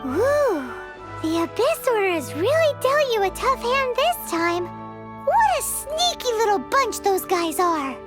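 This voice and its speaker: A woman voicing a tiny, excitable childlike fairy girl in a video game, squeaky and chirpy. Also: a little slow, over music, exaggerated voice. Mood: excited.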